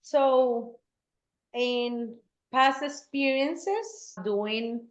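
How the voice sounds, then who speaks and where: A woman's voice: fairly high pitch, very slow. A woman from Colombia, talking earnestly and laughing easily as an interview guest. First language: Spanish. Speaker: guest, real estate agent. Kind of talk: casual interview talk. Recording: echoey, dull-sounding room.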